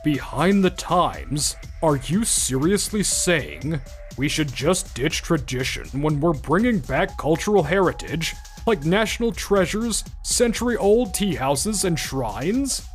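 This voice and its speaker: A man acting an earnest, animated businessman in an anime-style manga dub. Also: on the high side, takes it slowly. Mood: indignant. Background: music.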